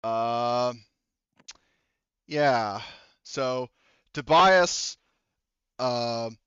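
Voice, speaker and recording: A man running a tabletop game as game master, casually improvising narration in a halting, sweary way as he thinks aloud into a headset. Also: mid-range voice, a bit bright, clear, resonant tone, dry recording, dull audio. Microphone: headset mic.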